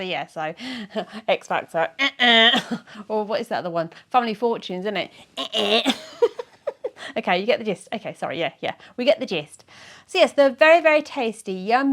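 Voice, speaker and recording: Middle-aged woman, croaky from a sore throat, chatty, giggly vlogger. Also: mid-range voice, fairly bright tone. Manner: chatty, giggly, self-mocking, rambling with fillers. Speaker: weight-loss vlogger. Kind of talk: casual chat to camera.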